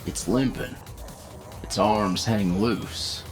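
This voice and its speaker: A man's voice: lowish voice, takes it slowly, over music. A man playing a cocky, seasoned demonic hunter who teaches an apprentice in a folksy drawl, in an audio roleplay. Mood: instructive.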